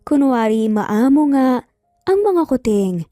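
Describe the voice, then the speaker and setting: A woman's voice: high voice, big pitch swings, takes it slowly, dark tone, studio quality. A woman narrating a scripted dramatic story as a storyteller. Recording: roomy echo, faint background music. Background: faint music.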